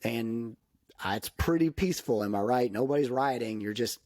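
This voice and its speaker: A man of medium pitch co-hosting a casual podcast, speaking with opinionated emphasis. His voice jumps upward when he gets worked up. The sound is dry and close. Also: normal speed, a little mellow, no echo. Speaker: podcast co-host. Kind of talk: casual chat.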